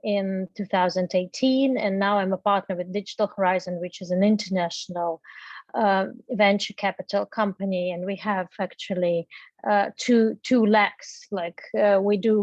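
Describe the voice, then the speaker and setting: A middle-aged woman answering as a guest in an online interview, speaking conversationally with halting, searching phrasing and repeated words. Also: mid-range voice, dry recording. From grew up in embassies, mostly in the Middle East. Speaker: guest, venture capital partner. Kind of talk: conversational interview answers, personal career story. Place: online video meetup. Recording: dull, lo-fi online call audio.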